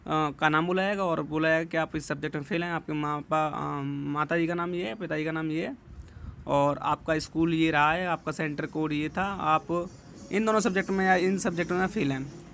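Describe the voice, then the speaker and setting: A man's voice: a little high, steady tone, moderate pace, gravelly, fairly bright tone, a little hiss or hum. A man presenting an informal explanatory warning to viewers, repeating himself and checking in as he goes. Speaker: presenter warning students about a scam call.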